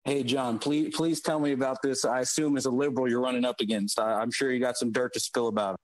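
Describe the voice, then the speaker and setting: A man phoning a talk show as an admiring caller, eager and flattering, with some hesitation. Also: medium pitch, quick pace, rough recording. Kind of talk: prank call posing as an admiring supporter.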